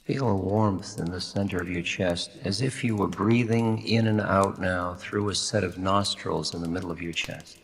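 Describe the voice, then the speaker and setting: A man with a very low voice leads a guided meditation. He gives gentle, soothing instructions, leaving long pauses between phrases. The recording carries a long reverb, with noticeable noise and clicks behind him. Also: vocal fry.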